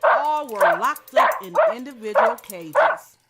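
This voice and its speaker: Animated woman reading a children's story. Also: sits high, slow pace, loud music behind the voice. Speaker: narrator. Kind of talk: animated scripted children's story read-aloud.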